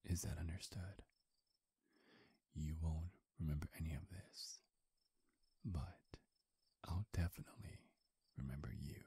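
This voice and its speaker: A man playing a hungry, seductive vampire in an ASMR roleplay, murmuring and whispering close to the mic, breathy and softly menacing. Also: extremely low pitch, fairly even intonation, dry recording, full character voice. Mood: possessive, hypnotic. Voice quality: husky, breathy. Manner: slow, coaxing, softly menacing. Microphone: close microphone.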